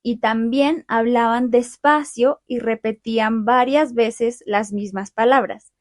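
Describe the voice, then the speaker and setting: Colombian woman, clear podcast host. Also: on the high side, steady tone, slow pace, no room sound, studio quality. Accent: Colombian, Bogotá. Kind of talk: friendly podcast chat for Spanish learners.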